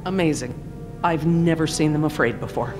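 A woman playing a composed, earnest female scientist in a video game, speaking in measured, precise phrases. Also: deep voice, lightly acted. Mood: awed. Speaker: scientist character. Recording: loud film-style music behind. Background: game music and sound effects.

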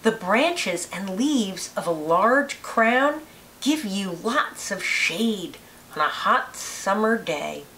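Female storybook reader, animated and unhurried, deliberate expressive phrasing for young children, slightly hissy recording. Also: mid-range voice, low-quality recording. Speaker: read-aloud narrator, teacher. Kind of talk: children's picture book read-aloud.

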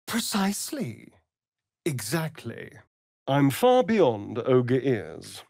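An actor playing a sly, vain vampire rogue in a video game, with a silky, theatrical, mocking drawl. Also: male voice, medium pitch, huge pitch swings, slow, creaky, a little mellow, no echo, studio quality, full character voice. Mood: smug, dry. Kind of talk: video game character voice lines.

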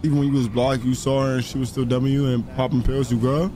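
A man's voice: mid-range voice, little pitch movement, quick pace, noisy background. An American man in casual, slangy street-talk banter while roleplaying in an online game.

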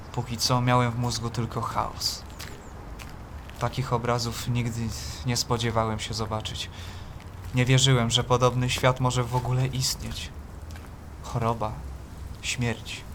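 An actor playing a shaken young seminarian, narrating an audio play in an earnest, literary and troubled manner. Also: male voice, medium pitch, noisy background, natural voice. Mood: anguished. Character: shaken young male seminarian from a palace, narrating his journey. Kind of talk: dramatic first-person narration in an audio play. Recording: prominent music behind the voice.

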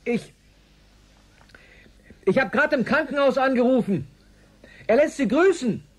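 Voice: sits high, animated, a little slow. An actor playing a concerned, encouraging radio operator at mission control in a radio play, speaking over a radio link.

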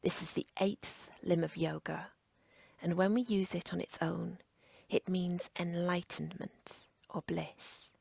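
A woman leads a guided relaxation in a very creaky, husky near-whisper. Her delivery is unhurried and soothing, laid out in short measured phrases. The pitch barely moves as she instructs. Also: steady tone, a little mellow, dry recording. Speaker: yoga nidra instructor.

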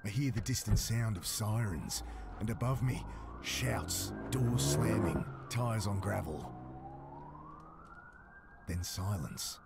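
An actor playing a shaken, weary drifter in a pulp thriller game, narrating and talking in a halting, subdued way over synth music. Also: male voice, lowish voice, animated, gravelly, exaggerated voice. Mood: brooding. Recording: dark synth music behind. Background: brooding synth score.

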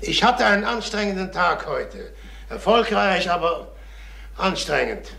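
Rattled middle-aged salesman, halting and evasive. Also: high-pitched, sing-song, creaky, pushed and bright, roomy sound, full character voice. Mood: defensive, strained. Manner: halting, repeats himself. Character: rattled travelling salesman and father, evasive and jittery. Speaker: actor in a radio crime play. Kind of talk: German radio crime drama acting.

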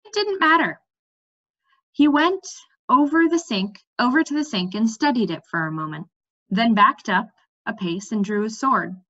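A young adult woman reading a storybook aloud at home, expressive, with the odd stumble. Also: a little high, moderate pace. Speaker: reader. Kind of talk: storybook read-aloud narration with lively dialogue. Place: bright room at home. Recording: slightly dull webcam audio.

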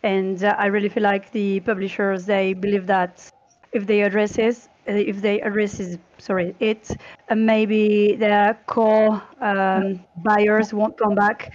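Even-toned woman on a dull headset line. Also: mid-range voice, measured pace. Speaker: panelist. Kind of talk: panel discussion, opinion. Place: room at home, on a video call. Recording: slightly dull video call audio.

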